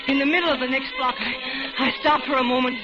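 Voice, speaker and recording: An actress plays a desperate captive woman in an old radio suspense drama. Her voice is high and breathless, urgent and frightened as she schemes to get away. The recording is muffled, with loud dramatic music behind. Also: fairly even intonation, quick pace, close to an everyday voice. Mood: tense dread. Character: terrified captive woman, pleading and scheming to escape. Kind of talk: 1940s radio thriller acting, first-person narration.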